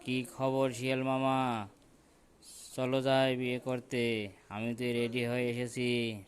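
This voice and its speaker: A man's voice: mid-range voice, completely flat delivery, slow pace, lightly acted. A man playing a gullible, eager, simple-minded tiger in a cartoon, with faint background noise. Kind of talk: cartoon voice acting.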